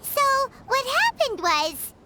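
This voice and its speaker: A woman voicing a small, childlike, feisty fairy companion in a video game, squeaky and eager. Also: wildly swooping pitch, takes it slowly, very noisy, exaggerated voice. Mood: eager, explaining.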